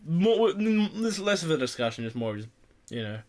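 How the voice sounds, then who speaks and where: A man's voice: fairly high pitch, sing-song, normal speed, a little mellow. Rambling, jokey young male podcaster. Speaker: podcast host. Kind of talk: casual chat about film news.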